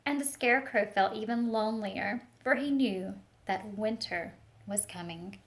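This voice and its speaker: A woman reading a picture book aloud to young children, expressive and speaking to them directly with teacher-like questions and asides. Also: medium pitch, measured pace. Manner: expressive storytelling, talks directly to young listeners.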